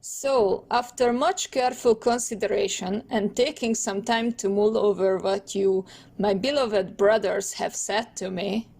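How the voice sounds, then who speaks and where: A woman's voice: mid-range voice, fairly even intonation, measured pace, creaky, a little mellow, pitched below the performer's own voice. A woman voicing a proud, wordy teenage turtle boy inventor in a cartoon comic dub, with a pompous, theatrical manner.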